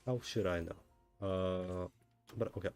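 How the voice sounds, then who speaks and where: A man chatting casually as he livestreams a game, thinking out loud and often asking himself questions. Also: low-pitched, steady tone, measured pace, clear, resonant tone, faint music behind. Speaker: livestreamer, gamer. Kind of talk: casual livestream chat while playing a game.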